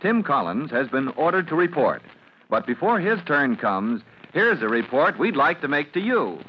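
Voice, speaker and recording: A man's voice: on the high side, sing-song, moderate pace. A man announcing and narrating an old-time radio drama in a dramatic, declamatory style. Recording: muffled, noisy old radio recording.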